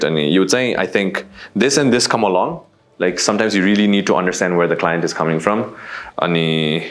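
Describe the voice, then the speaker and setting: A young man from Nepal with a baritone voice, a voice actor answering interview questions in a relaxed, wry way. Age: young adult.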